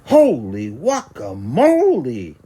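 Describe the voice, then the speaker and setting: A man's voice: mid-range voice, huge pitch swings, slow pace, warm tone, slightly rough, full character voice. A man voicing a bouncy, imaginative little boy kitten in a storybook, singsong and exuberant. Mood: amazed.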